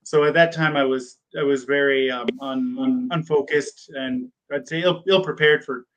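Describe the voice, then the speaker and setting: A man's voice: mid-range voice, steady tone, moderate pace, a bit bright, rough recording. A man in his thirties, a PA school applicant answering mock interview questions, hesitant and full of ums and restarted words. He is from the United States, with German as his first language. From born in Germany, raised in the United States.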